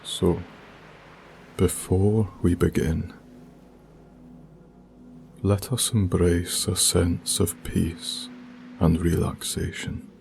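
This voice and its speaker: A man leads an ASMR guided meditation in a soft, hushed, soothing voice, speaking deliberately with long pauses. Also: low-pitched, flat delivery, creaky. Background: music with binaural beats.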